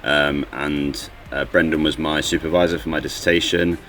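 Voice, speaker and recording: Brief: very deep male voice, young Englishman, steady explainer, light background noise. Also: moderate pace. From England. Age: 20s. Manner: steady, explanatory, some uhs. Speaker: volunteer. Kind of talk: casual interview to camera.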